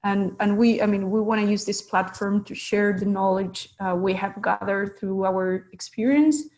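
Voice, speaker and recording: A woman researcher presents over a video call, searching for words with plenty of pauses and fillers. Her voice is even and level, with a gravelly rasp at the edges. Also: medium pitch, rough recording. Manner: hesitant, many fillers and restarts. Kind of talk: online webinar presentation.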